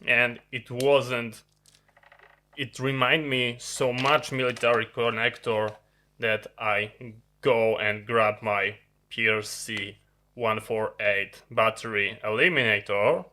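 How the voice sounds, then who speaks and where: A man who is a non-native English speaker, giving a halting, unscripted hands-on explanation of a piece of gear as a video host. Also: mid-range voice, steady tone, sharp tone. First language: not English. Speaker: host of an electronics review channel. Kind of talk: unscripted hands-on product demonstration. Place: indoor electronics workbench.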